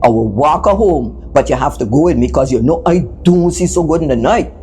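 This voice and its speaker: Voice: mid-range voice, animated, a little fast, warm tone, faint music behind, close to an everyday voice. A storyteller voicing a stern, grumbling village husband who bosses others about in folksy dialect. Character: gruff, bossy village husband hiding his fear.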